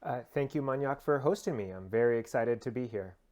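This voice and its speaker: A man's voice: medium pitch, fairly quick, darkish tone, muffled recording. A man with an American accent answering interview questions conversationally, thinking aloud with small asides. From United States. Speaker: interview guest, human rights lawyer.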